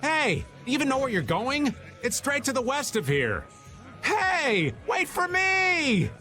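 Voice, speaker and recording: A man's voice: very high-pitched, sing-song, slow, pushed and bright, exaggerated voice. An actor playing a laid-back, wily older man in a video game, talking in a casual, folksy, theatrical way. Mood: flustered. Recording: music under the voice. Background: game background music.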